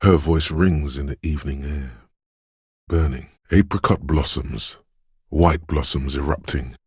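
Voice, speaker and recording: Game inner voice of intuition: extremely low, heavily rasping, dreamlike and ominous, lingering on poetic phrases. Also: male voice, a little slow, no room sound, clean studio sound, full character voice. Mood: haunted, ominous. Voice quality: husky, gravelly bass. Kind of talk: atmospheric second-person video game narration.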